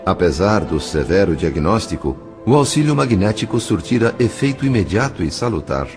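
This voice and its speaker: Deep-voiced man narrating an audio novel. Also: big pitch swings. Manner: formal, literary, measured. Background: faint music.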